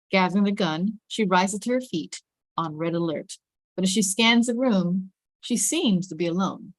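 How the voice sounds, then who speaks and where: A woman's voice: mid-range voice, no echo. A woman reading stage directions as the narrator of a scripted drama table read.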